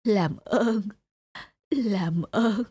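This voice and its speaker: A woman voicing a frail, dying homeless old woman who speaks weakly and haltingly, for an animated story. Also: medium pitch. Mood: frail, tender. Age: older. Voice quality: weak, breathy and halting. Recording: loud background music.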